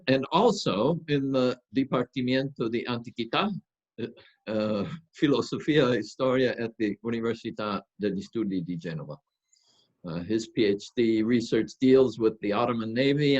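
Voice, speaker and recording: A man introducing a guest speaker as host of an academic seminar, speaking semi-formally in a halting way with rephrasing. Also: on the low side, highs cut off.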